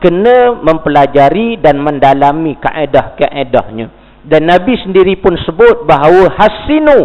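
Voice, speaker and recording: Malaysian Malay accent, man, religious teacher, high voice, long hall reverb. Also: very animated, moderate pace. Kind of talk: mosque lecture. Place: mosque hall. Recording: dull, reverberant mosque recording.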